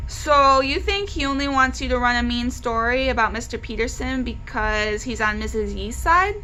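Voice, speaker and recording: A curious storybook boy, read by a woman presses with insistent questions. The voice is high and bright, over slight background noise. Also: moderate pace, rough recording. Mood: questioning. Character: curious, persistent young boy questioning his dad.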